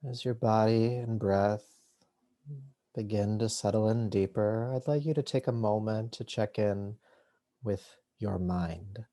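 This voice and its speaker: A man with a low-set, relaxed voice guides a meditation as a yoga teacher. He speaks very slowly and soothingly, in short phrases with long pauses between them.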